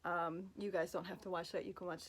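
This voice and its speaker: A woman's voice: lowish voice, flat delivery, a little fast. A woman with a Brooklyn accent, chatting casually and rambling with fillers as she answers fan questions from her bed, recorded on a phone. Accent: Brooklyn New York. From Brooklyn, New York. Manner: chatty, rambling, self-deprecating, lots of fillers and asides.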